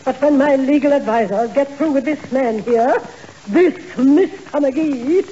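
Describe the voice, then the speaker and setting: An actress playing a pompous, easily outraged society matron with affected, high-flown speech in a 1940s radio comedy. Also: female voice, a little slow, dark tone. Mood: haughty. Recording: muffled, noisy 1940s radio broadcast.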